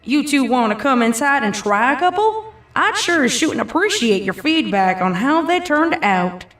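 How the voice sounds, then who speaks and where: A woman's voice: sits high, big pitch swings, moderate pace, a bit bright, faint music behind, voice pushed up. A woman voicing a folksy country farm mare with a drawl, coaxing and inviting. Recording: echoey, from a distance.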